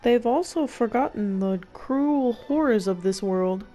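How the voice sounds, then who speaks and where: A woman's voice: on the high side, animated, a little slow, dark tone, faint music behind. A woman streamer giving casual, sarcastic gameplay commentary in a slangy, wry manner.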